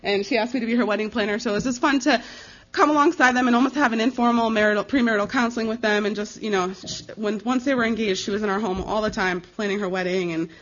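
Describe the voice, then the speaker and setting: A young American woman speaking as a missionary, giving an informal slide presentation in a conversational, earnest and halting way. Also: medium pitch, fairly even intonation, fairly bright tone. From United States. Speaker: missionary giving a ministry report. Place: live talk before an audience. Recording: dull, slightly lo-fi recording.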